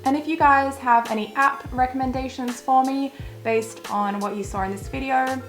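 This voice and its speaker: A young woman, chatting casually through a vlog in a croaky voice that is wearing thin, with plenty of filler words. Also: sits high, steady tone, normal speed, faint music behind. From Australia. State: croaky, losing her voice. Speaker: vlogger. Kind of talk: casual walkthrough.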